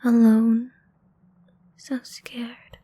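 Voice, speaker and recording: Actress as cruel, playful female vampire for ASMR roleplay. Slow, level delivery, soft and breathy, condescending taunts, half-whispered. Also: on the high side, completely flat delivery. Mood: mocking pity. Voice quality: dark, soft, breathy, creaky.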